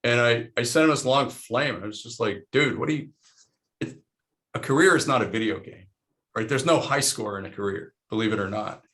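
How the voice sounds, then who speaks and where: A man's voice: mid-range voice, brisk, fairly bright tone. A middle-aged man from Lebanon, a panel guest and investor, talking frankly in a casual, rambling way with many restarts.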